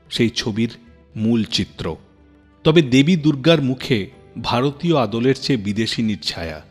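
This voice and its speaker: A man narrates a radio program in a practiced storyteller's way, his pitch sitting mid-range but swinging widely for dramatic effect. His voice rings with a long reverb, and soft music plays faintly underneath. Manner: expressive, dramatic storyteller pacing. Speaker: radio show host.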